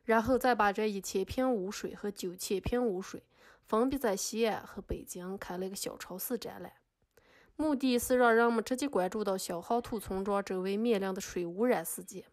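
A woman's voice: mid-range voice, normal speed, natural voice. A woman playing an indignant accuser, scolding and sarcastic, in a scripted podcast drama. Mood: narrating. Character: indignant female accuser scolding an artist in court.

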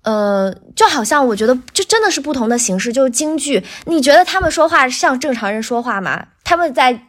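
High, thin-voiced lively woman. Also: fairly quick. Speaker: podcast guest, voice actor. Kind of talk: casual podcast conversation.

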